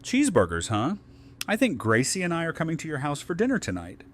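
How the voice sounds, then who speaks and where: An actor playing a friendly survivor on a community council in an audio drama, speaking casually. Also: male voice, fairly high pitch, wildly swooping pitch, fast, slight background noise. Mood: impressed, teasing.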